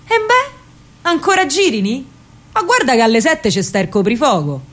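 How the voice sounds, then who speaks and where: A woman's voice: high voice, sing-song, normal speed, fairly bright tone, full character voice. A woman voicing a big, protective older male bookseller with a Roman accent and an exclamatory, avuncular manner, in a dramatic monologue. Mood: jovial.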